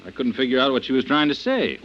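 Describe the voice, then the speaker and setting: An actor playing a dogged, plain-spoken, easily rattled police officer in an old radio drama, blunt and colloquial. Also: male voice, medium pitch, sing-song, quick pace, natural voice. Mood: puzzled, inquiring. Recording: muffled, hissy vintage radio recording.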